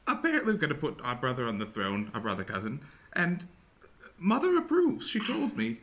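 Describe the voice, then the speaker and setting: A woman playing an excitable, slightly crazed royal sister, melodramatic, in an improvised comedy radio play. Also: very deep voice, a little fast, full character voice. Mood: conspiratorial. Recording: noticeable background noise.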